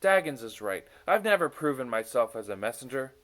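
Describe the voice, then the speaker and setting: A man's voice: medium pitch, sing-song, a little fast, fairly bright tone. A man voicing a proud but self-doubting messenger in a storybook, fretful and questioning himself.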